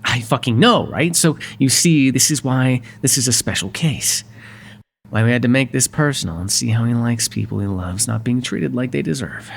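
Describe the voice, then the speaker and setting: Casting: male actor for wry, exasperated yet courteous mobster, gravel-edged, conversational roleplay delivery, faint hum and noise on the recording. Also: mid-range voice, animated, normal speed. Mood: wry, explaining. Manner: sardonic and conversational, swinging from exasperated to soft. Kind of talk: ASMR roleplay voice acting.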